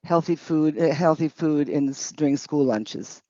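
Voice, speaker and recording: A woman's voice: low-pitched, a little slow, mellow, no room sound. A woman interpreting at a public hearing in short, hesitant, piecemeal phrases. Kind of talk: halting consecutive interpretation. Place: committee hearing. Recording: dull, muffled audio.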